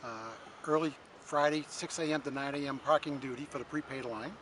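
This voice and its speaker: Higher-voiced easygoing man, noisy outdoor recording. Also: fairly even intonation, brisk, fairly bright tone. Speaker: event volunteer. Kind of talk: casual interview answers. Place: outdoors at a radio swap meet. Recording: noisy outdoor vehicle ambience.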